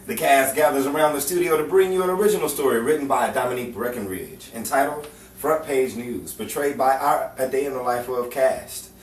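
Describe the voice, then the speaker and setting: A man playing the deliberate, dramatic narrator of a noir newspaper tale in an old-time radio drama. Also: medium pitch, natural voice. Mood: measured, dramatic. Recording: distant roomy recording with faint noise.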